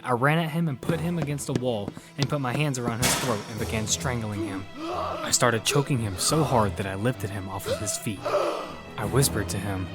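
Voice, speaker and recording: A man earnestly narrating a dramatic first-person action story in scripted audio fiction. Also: mid-range voice. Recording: loud music bed behind the voice. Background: loud music.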